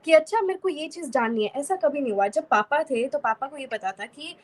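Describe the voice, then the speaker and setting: A woman's voice: sits high, animated, fairly quick. A young woman from Haryana, explaining fluently as an interview guest and mixing in many English words. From Haryana, India. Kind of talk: casual interview conversation.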